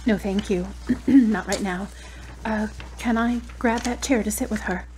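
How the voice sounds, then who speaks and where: A woman's voice: mid-range voice, fairly even intonation, a little slow, darkish tone, lightly acted, low-quality recording. A woman playing an anxious, grief-stricken daughter in an audio drama, tender but blunt and emotional. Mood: subdued.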